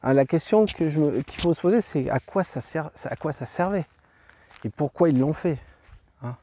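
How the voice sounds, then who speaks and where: A man's voice: fairly high pitch, normal speed, dark tone. A man hosting a travel vlog, stuffed up from a cold, rambling with many fillers and restarts as he tours a site outdoors. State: congested from a cold. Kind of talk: walking tour commentary.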